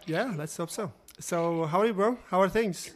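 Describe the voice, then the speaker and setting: A man hosting his podcast, welcoming a guest with loose, informal phrasing and quick questions. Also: high voice, soft background music. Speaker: podcast host. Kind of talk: casual interview hosting.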